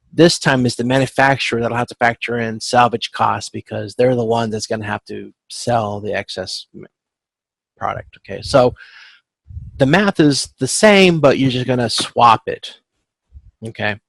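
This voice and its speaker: A male instructor with a creaky, gravelly voice walks through material informally. He speaks at a middling pitch, stops and corrects himself, and often checks for agreement. The sound is dry and close, with very little room in it. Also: dry recording, low-quality recording. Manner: halting, self-correcting, often checking with "okay?". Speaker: instructor teaching supply chain management. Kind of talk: informal lecture walking through spreadsheet calculations. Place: online video conference with screen share.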